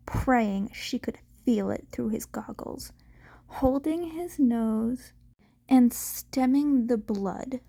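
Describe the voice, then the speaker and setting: A woman narrates a written story in a soft, mellow voice that sits a little high. She takes her time, reading like an amateur audiobook narrator, and sometimes stumbles and restarts a word. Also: creaky. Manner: halting reading with stumbles and self-corrections. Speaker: amateur fan fiction audiobook reader.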